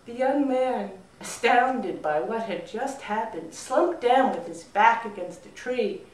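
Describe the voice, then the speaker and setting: A woman storyteller narrating a fable in an expressive style. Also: fairly high pitch, takes it slowly. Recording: roomy echo, distant mic.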